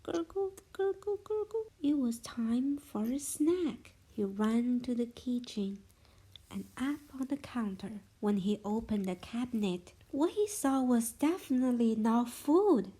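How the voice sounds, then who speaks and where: Female bedtime-story reader, mellow and slightly breathy, expressive with hushed suspense. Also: a little high, measured pace, poor audio. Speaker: parent reading bedtime stories to children. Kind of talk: storybook read-aloud for children.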